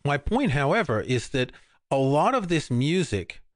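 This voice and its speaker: A man's voice: fairly high pitch, unhurried, no room sound, clean studio sound. An older man, co-hosting a podcast, chatting casually about music with frequent restarts. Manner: relaxed, rambling, many restarts. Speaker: podcast co-host. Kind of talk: casual chat.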